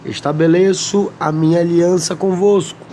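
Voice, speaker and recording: A man with a Brazilian Portuguese accent, slightly hoarse, teaching a Bible lesson and reading scripture slowly with many pauses. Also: sits high, steady tone, mellow, a little hiss or hum. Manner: slow, deliberate, pausing often, asks "amém?".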